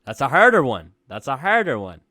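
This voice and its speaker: Fast-talking young male sports podcaster. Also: mid-range voice, huge pitch swings, pushed and bright, no room sound. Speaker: podcast co-host. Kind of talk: casual sports debate.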